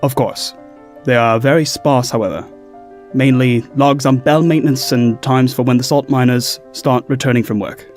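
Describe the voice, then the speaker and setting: A man's voice: mid-range voice, fairly even intonation, normal speed, soft background music, lightly acted. A man playing a dignified, skeptical town watchman in a fantasy audio drama, speaking formally and precisely. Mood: dry, disdainful. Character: dignified, skeptical male town watchman, weary obsessive cloud observer.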